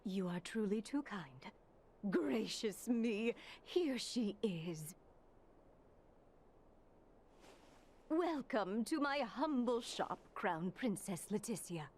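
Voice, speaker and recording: An actress playing a gracious, deferential tailor who speaks with formal, effusive politeness in a video game cutscene. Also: female voice, mid-range voice, animated, slow pace, darkish tone. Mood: delighted, fawning. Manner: formal, fawning, theatrical politeness.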